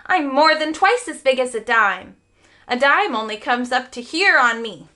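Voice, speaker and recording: A woman's voice: high-pitched, animated, normal speed, sharp tone. A woman voicing a tiny boy mouse sailor in a storybook, bold, proud and eager, with emphatic, polite phrasing. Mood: indignant.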